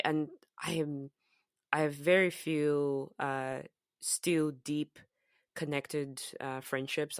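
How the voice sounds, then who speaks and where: Low-voiced slow Zambian woman. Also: clear, resonant tone, no room sound, clean studio sound. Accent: Zambian, softened by years in the US and UK. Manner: reflective and searching, with frequent fillers and restarts. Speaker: podcast co-host. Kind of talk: casual conversational podcast chat.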